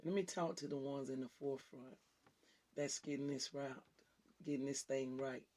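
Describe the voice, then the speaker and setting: A woman with a raspy voice that people on the phone mistake for a man's, preaching with fervour as host of her show and swinging from loud exclamations to hushed asides. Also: steady tone, moderate pace, slight background noise. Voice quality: raspy, deep-sounding voice often taken for a man's on the phone. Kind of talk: impassioned preaching on a talk show.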